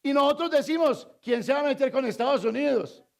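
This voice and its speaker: A man from Colombia with a Colombian Spanish accent, preaching a sermon as pastor, quizzing the congregation and swinging between loud emphatic lines and low confiding asides. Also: brisk, creaky. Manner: call-and-response preaching, asks the congregation to fill in words.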